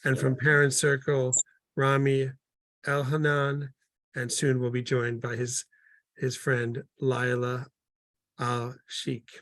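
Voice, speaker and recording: A man's voice: mid-range voice, monotone, takes it slowly, fairly bright tone, no room sound, poor audio. A man moderating a panel on a video call, welcoming the guests with frequent fillers and repeated words.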